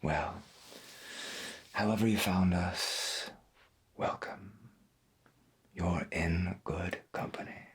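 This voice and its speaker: A man playing a folksy, gentle veteran pirate radio host, speaking softly and slowly with a breathy voice in an ASMR roleplay. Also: low-pitched, fairly even intonation, exaggerated voice. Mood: calm, intimate. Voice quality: breathy, husky. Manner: slow, deliberate, folksy, half-whispered. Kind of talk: soft-spoken ASMR roleplay as 1990s AM radio.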